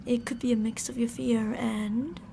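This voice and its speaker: A woman's voice: on the high side, level tone, moderate pace, vocal fry, dark tone, a little hiss or hum. A woman playing teasing, possessive female mad scientists in an ASMR roleplay, coaxing and domineering with sweet menace. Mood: controlling, coaxing.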